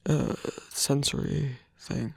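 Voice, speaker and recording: Man acting a hushed, husky, tender lover. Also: mid-range voice, steady tone, slow, no room sound, full character voice. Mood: gentle concern. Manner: soft, hesitant, breathy delivery. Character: gentle, caring male lover soothing a partner. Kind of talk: ASMR roleplay acting.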